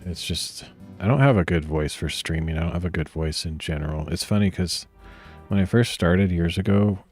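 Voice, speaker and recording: Male streamer, very low and grainy, soft-spoken, rambling think-aloud delivery with frequent throat clearing. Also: moderate pace, soft background music. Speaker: streamer coding live. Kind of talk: casual thinking aloud while coding.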